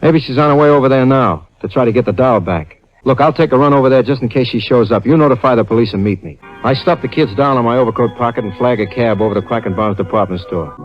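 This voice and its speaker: An actor playing a wisecracking, unflappable hard-boiled private eye, narrating and talking in dry slang in an old-time radio drama. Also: male voice, mid-range voice, fast, natural voice. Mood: urgent, dry. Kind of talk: old-time radio noir acting and first-person narration. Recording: muffled vintage radio recording, reverberant, music behind.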